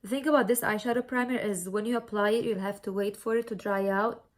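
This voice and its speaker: A woman's voice: mid-range voice, steady tone, quick pace. Young woman with a Syrian Arabic accent. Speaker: beauty vlogger. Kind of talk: casual makeup tutorial chat.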